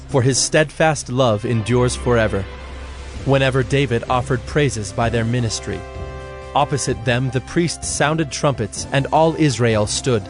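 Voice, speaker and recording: A man reading scripted Bible narration in a measured, solemn, clearly articulated way. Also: medium pitch. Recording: background music under the voice.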